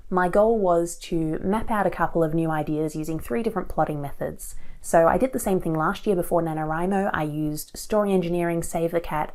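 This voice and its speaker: A woman in her thirties, slightly raspy as she gets over a cold, chatting casually and rambling a little to camera from a small shipping-container hotel room. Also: on the low side, a little mellow. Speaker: aspiring fiction author and channel host. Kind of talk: casual talking-to-camera vlog. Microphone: action camera's built-in mic.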